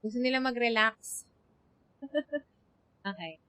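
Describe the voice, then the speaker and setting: A woman's voice: a little high, a little slow, sharp tone, smooth, no echo. A woman with a Filipino accent giving casual livestream gameplay commentary, switching between Tagalog and English. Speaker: game streamer. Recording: faint music behind the voice. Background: game music.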